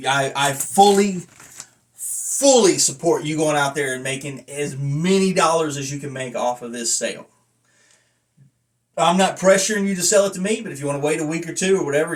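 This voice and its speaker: A man talking casually to camera in a rambling review, with a blunt, self-deprecating manner. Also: mid-range voice. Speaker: vlogger and wrestling belt collector reviewing a belt.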